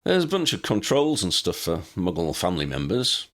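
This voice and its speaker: A man's voice: sits low, huge pitch swings, a little fast, fairly bright tone, full character voice. A man voicing a good-natured, blunt adult male wizard in an audiobook, with loose informal phrasing. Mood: breezy, blunt.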